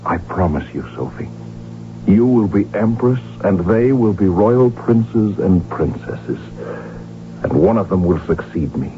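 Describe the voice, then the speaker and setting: An actor playing a proud, impatient middle-aged archduke in a radio drama, formal and emphatic. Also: male voice, fairly low pitch, animated, a little slow. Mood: fervent, resolute. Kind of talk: 1970s radio drama acting. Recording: muffled lo-fi radio broadcast with music.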